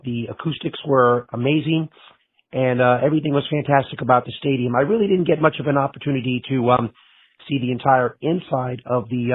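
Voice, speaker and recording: A man with a bad cold and a raspy voice, leaving a halting voicemail for a show. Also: medium pitch, no echo. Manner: halting, with many pauses. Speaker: caller. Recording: phone line.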